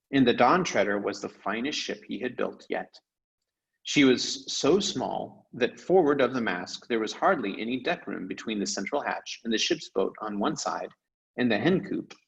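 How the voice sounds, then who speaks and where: A man narrating a children's novel aloud in an expressive storyteller's style. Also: medium pitch, gravelly, poor audio. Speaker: read-aloud storyteller, teacher.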